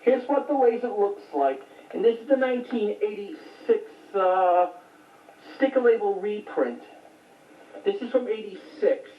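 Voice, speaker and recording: A woman's voice: medium pitch, expressive, unhurried. Woman on tinny, echoey distant mic. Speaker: home video collector showing recent finds. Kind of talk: casual unscripted show-and-tell.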